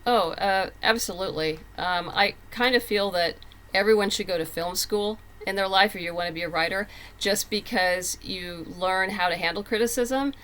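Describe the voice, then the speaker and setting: A woman's voice: medium pitch, moderate pace, a bit bright. A woman speaking as a writer guest in a casual interview, candid, emphatic and plain-spoken.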